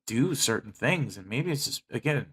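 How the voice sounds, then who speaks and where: A man's voice: mid-range voice, lively intonation, normal speed, a little hiss or hum. A young American man speaking candidly to his viewers in a personal monologue, blunt and emphatic, with heavy swearing. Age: young adult. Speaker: YouTuber.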